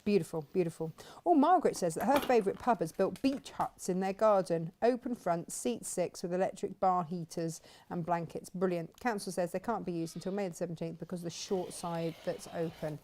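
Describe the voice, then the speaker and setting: A woman's voice: lowish voice, brisk, hardly any pauses, dark tone, slight background noise. Chatty woman, laughing often. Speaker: co-host. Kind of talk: casual chat on a crafting show.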